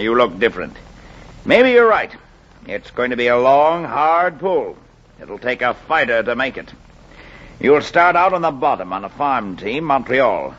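Husky, shrewd baseball boss. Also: male voice, mid-range voice, a bit bright. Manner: blunt, folksy, sizing someone up. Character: gruff, shrewd, cigar-chewing baseball boss. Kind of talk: 1940s radio drama acting. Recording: dull 1940s radio recording.

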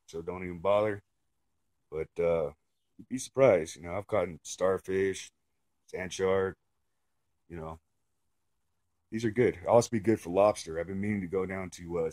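Middle-aged man, very low voice, easygoing how-to delivery, dry recording. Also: dry recording. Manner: plainspoken, says "okay" and "right" often. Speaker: fishing vlogger. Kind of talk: casual how-to talk.

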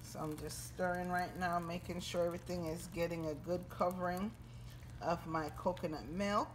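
A woman's voice: lowish voice, steady tone, normal speed, a little hiss or hum, poor audio. A woman hosting a home cooking video, chatting casually and folksily to her viewers as she cooks at the stove. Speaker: home cooking channel host. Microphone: handheld phone camera.

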